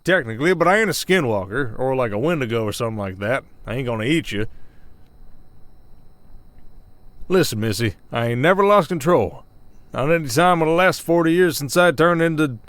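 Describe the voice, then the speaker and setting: A man's voice: medium pitch, animated, quick pace, a bit bright, no echo, close to an everyday voice. A man playing a folksy, hesitant werewolf sheriff with a Southern Old West drawl in an ASMR roleplay. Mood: flustered, defensive. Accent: American Southern, Old West drawl. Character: folksy werewolf sheriff of an Old West town, long-lived but young-faced. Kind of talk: roleplay audio acting, ASMR. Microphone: close studio mic, dry.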